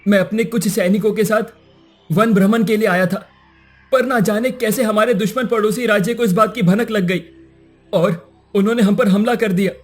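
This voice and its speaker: A man's voice: high voice, fairly even intonation, moderate pace, soft background music. A man playing a wounded, desperate prince in a cartoon story, pleading urgently and dramatically. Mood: urgent, anguished. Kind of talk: Hindi cartoon moral story dialogue.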